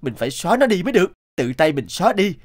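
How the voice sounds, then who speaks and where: A greedy, boastful treasure collector from a cartoon fable. His voice sits fairly high and leaps about theatrically. He exclaims with proud, obsessive intensity, and music plays underneath. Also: sing-song, quick pace. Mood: self-satisfied, fussy. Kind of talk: animated fable voice acting.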